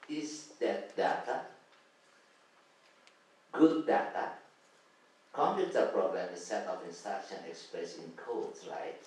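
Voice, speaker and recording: A man's voice: mid-range voice, a little mellow. A man answering at a conference session, explaining earnestly and searching for words. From Indonesia. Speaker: academic panelist. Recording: echoey conference hall, distant mic, faint noise.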